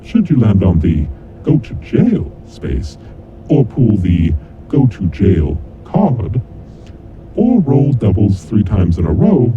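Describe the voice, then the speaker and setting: A man playing a coldly vengeful kidnapper mastermind in a horror audio drama, announcing deadly rules in a deliberate, formal, taunting way. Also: full character voice. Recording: dull lo-fi audio with clear rumbling noise.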